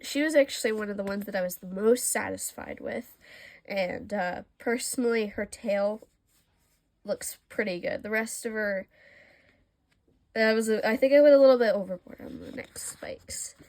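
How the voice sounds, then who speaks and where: A woman's voice: medium pitch, normal speed, darkish tone. A young woman, a little congested from allergies, chatting casually and self-deprecatingly as she shows her old drawings. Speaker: art vlogger. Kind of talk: casual sketchbook tour.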